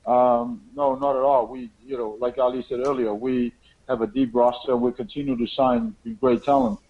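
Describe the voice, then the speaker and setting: A man speaking as a promotion president, giving assured, measured answers to press questions on a conference call. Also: mid-range voice, little pitch movement. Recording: dull lo-fi conference phone line.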